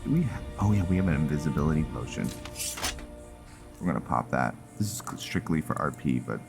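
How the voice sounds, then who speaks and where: A man's voice: a bass voice, expressive, brisk, gravelly, music in the background. A man giving casual commentary on a roleplay video game, muttering to himself and often repeating his words. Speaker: gaming content creator.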